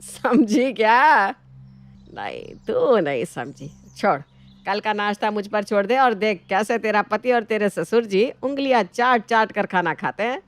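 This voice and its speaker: A woman's voice: mid-range voice, lively intonation, a little slow, no room sound. A woman voicing an older, shrewd mother-in-law in a family story, with a scolding, commanding manner. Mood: scheming, confident.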